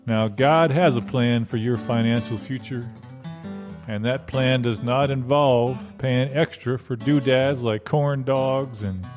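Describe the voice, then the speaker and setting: A man playing a folksy small-town Baptist pastor who sells used cars, preaching his slow, deliberate pitch like a homespun radio advert. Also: darkish tone, close to an everyday voice. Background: plucked acoustic guitar.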